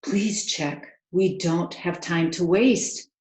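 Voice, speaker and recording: A woman's voice: on the low side, slow, darkish tone. A woman voicing a kindly, eager royal manservant in a storybook, urgent and earnest. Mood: pleading, urgent. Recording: roomy, echoing home recording.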